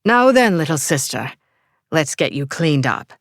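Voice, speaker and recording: A woman's voice: deep voice, big pitch swings, unhurried, no room sound, clean studio sound, exaggerated voice. A woman doing a cheeky English vampire lad. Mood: teasing. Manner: playful and mocking, sing-song. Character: cheeky young male vampire, teasing.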